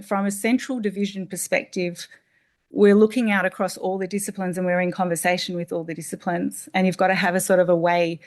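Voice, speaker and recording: Thoughtful Australian woman presenter. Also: medium pitch. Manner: thoughtful, explanatory, with restarts. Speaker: seminar presenter. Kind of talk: answering an audience question. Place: seminar room.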